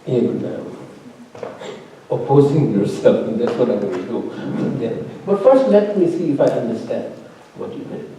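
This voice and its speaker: A man's voice: fairly low pitch, dark tone, a little hiss or hum. Distant man in a roomy hall. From immigrant living in Norway. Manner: discursive, with many fillers and restarts. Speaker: faculty opponent at a thesis defense. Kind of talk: spoken academic commentary.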